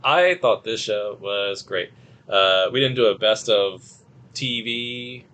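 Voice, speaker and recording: A man's voice: lowish voice, slow, sharp tone, smooth. A man co-hosting a podcast, speaking in blunt, slangy review chat with some swearing. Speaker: podcast co-host.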